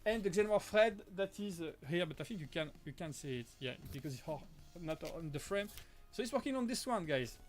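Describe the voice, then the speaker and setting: A man with a French accent, hosting a casual live-stream Q&A and answering viewer questions, with many halting restarts and self-corrections. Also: on the high side, lively intonation, soft background music. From Switzerland. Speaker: live-stream host, prop maker.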